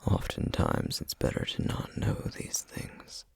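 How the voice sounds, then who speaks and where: A man playing a solemn, guarded, protective flame demon in a whispered ASMR roleplay, speaking formally and hesitantly with a breathy, gravelly voice. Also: dry recording, lightly acted. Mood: reluctant, weary. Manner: slow, measured, formal, hesitant.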